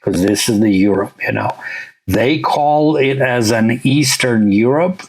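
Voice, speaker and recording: A man's voice: mid-range voice, unhurried, breathy edge, rough recording. A middle-aged man from Latvia, for whom English is a third language, casually talking as a channel host and leaning heavily on "uh" and "you know.". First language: not English; English is his third language. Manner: rambling, many "uh" and "you know" fillers. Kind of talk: casual vlog narration over a shared screen.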